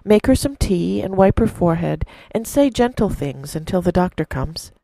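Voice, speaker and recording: A woman's voice: on the low side, animated, normal speed, gravelly, mellow, no echo, voice pushed up, low-quality recording. A woman voicing an older male farmer in a spoken story, giving firm, reassuring advice. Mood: urgent reassuring.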